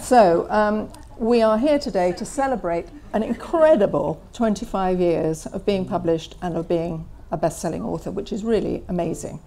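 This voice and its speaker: A woman's voice: lowish voice, moderate pace, few pauses, dark tone, slight background noise. A woman interviewer hosting a live talk before an audience, giving an enthusiastic introduction and then prompting her guest with leading questions. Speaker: interviewer and event host.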